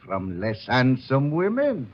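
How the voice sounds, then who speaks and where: An actor playing a boastful, brutish army deserter who gloats over killing, in a 1950s radio drama. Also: male voice, mid-range voice, wildly swooping pitch, takes it slowly, full character voice. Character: cocky, cheerful, brutish deserter, eager hired killer. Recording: muffled vintage radio recording.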